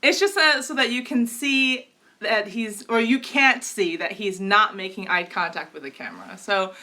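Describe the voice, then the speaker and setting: Bright-voiced chatty American woman. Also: mid-range voice, moderate pace. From United States. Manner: laughing, chatty. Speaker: co-host. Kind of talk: casual live-stream chat.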